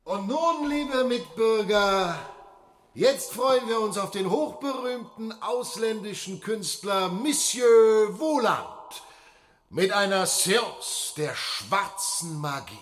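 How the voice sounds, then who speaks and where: Gushing male show announcer, high voice, swooping intonation, pompous, florid emphasis, radio play. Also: lively intonation, takes it slowly, soft background music. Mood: grandly proclaiming. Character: pompous, gushing theatre master of ceremonies. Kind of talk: showy stage announcement in radio drama.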